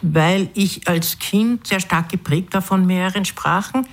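A woman with a somewhat low voice, a guest in an interview, answers in a thoughtful, searching way. She pauses often, restarts her sentences and uses fillers as she works out what to say. English was her first language. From born in London, raised in Austria.

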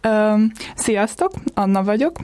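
A woman's voice: medium pitch, expressive, measured pace, a little mellow, clean tone, dry recording. A woman from Hungary, co-hosting a student radio show with hesitant, filler-heavy speech.

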